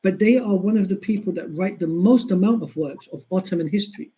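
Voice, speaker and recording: A man's voice: sits high, a little fast, warm tone, lo-fi sound. A man giving an earnest history lecture, driving points home by repeating them. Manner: earnest, emphatic repetition. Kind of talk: explanatory lecture.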